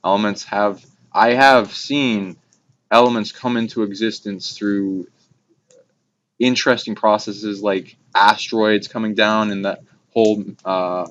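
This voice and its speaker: A man talking casually and haltingly, rambling through abstract esoteric ideas in long trailing phrases. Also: fairly low pitch, fairly even intonation, fairly bright tone. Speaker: speaker on esoteric topics. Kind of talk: casual rambling spiritual discussion. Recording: dull lo-fi recording with clear background noise.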